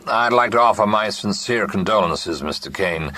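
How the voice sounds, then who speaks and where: A man's voice: lowish voice, animated, moderate pace, sharp tone, no room sound. A man voicing a lean, amused business agent who speaks in a slightly nasal drawl. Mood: courteous.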